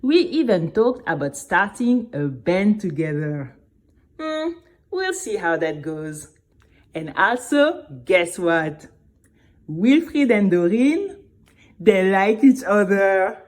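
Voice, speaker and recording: A woman playing a chatty, excitable teenage girl who tells her own story in a confiding, playful way for an audio drama. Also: mid-range voice, slow pace. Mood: gossipy.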